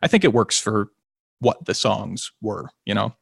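A man who sings and plays guitar in a band, talking in a slightly low voice with a touch of nasal tone. He rambles easily through his answers, doubling back on words and restarting sentences. The sound is dry and close. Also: a little slow, creaky, husky, dry recording. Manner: relaxed and rambling, with many restarts and small laughs. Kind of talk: casual interview conversation.

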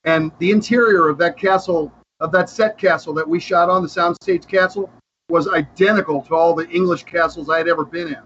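A man's voice: on the high side, fairly even intonation, a little fast, a bit bright. A man from the cast reminiscing casually, rambling and restarting his phrases. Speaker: cast member, guest. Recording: dull audio with faint background noise.

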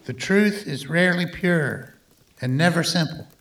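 A man's voice: a little high, measured pace, a bit bright. An actor playing an earnest, guilt-ridden older male scientist who speaks in formal, literary phrases in a radio play. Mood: resolute.

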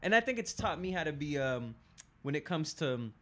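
A man appearing as a guest comedian, talking casually in halting starts and repeated words. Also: a little high, very animated, sharp tone. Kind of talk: casual chat.

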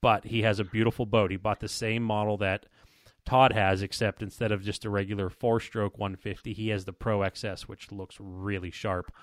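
Male podcast host, lowish pitch, dry close sound, casual rambling talk. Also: flat delivery, moderate pace, no room sound. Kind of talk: casual podcast chat with sponsor reads.

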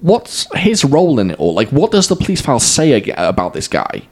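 A man's voice: mid-range voice, a little fast, husky. A young man with a Welsh accent, co-hosting a casual film review chat and laughing often as he tells stories. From Wales. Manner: laughs often, animated storytelling. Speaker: podcast co-host.

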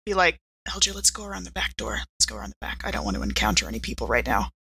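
A woman's voice: rumbling low, sing-song, racing, gravelly, sharp tone, breathy edge. A woman playing in a tabletop role-playing game, moving between casual table talk and improvised dialogue.

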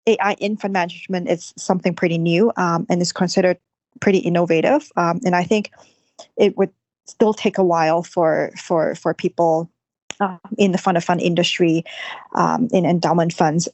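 A woman's voice: on the low side, steady tone, moderate pace, a little mellow, no echo. A woman, a company CEO on a podcast, answering thoughtfully with many fillers and restarts.

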